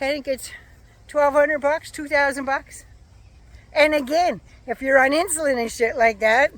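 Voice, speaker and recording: A woman giving a sarcastic, profane political rant as a vlogger, her voice going hoarse. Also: sits high, a bit bright, no echo. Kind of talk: casual ranting monologue.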